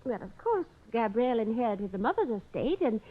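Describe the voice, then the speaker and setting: Imperious middle-aged society lady, highish swooping voice, melodramatic grief, old radio play, muffled sound. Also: big pitch swings, brisk. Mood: wounded, indignant. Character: haughty, theatrically grieving middle-aged society aunt. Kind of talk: old-time radio drama acting. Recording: muffled vintage radio recording.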